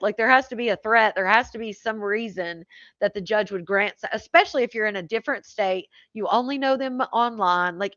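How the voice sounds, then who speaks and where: A woman's voice: medium pitch, a bit bright, no room sound, muffled recording. Chatty woman livestream host, dry sound. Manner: chatty, reads and answers viewer comments. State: voice tired after hours of streaming. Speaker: live stream host. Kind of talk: casual chat with live viewers.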